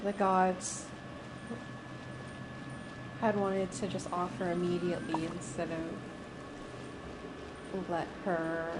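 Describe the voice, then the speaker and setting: Woman game streamer over very loud noise. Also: fairly low pitch, completely flat delivery. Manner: halting, thinking aloud. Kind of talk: casual live gameplay commentary. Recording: loud background noise on livestream.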